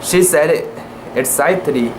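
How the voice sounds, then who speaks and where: An amateur narrator with a mid-pitched voice reads a literary sea story as a dramatic piece. The pace is slow and careful, with halting stumbles and imperfect pronunciation. A faint steady hum of room ambience sits behind the voice.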